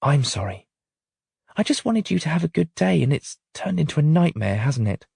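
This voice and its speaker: A man's voice: on the high side, very animated, moderate pace, gravelly, a little mellow, slightly rough, no room sound, studio quality, pitched above the performer's own voice, full character voice. A man voicing a frazzled mum. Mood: stressed. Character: stressed, harried young mother.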